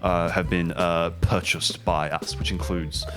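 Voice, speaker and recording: A man playing a harried, officious agent of a goddess company in a tabletop game, explaining things haltingly. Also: deep voice, little pitch movement, loud music behind the voice, pitched below the performer's own voice. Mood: officious, explanatory.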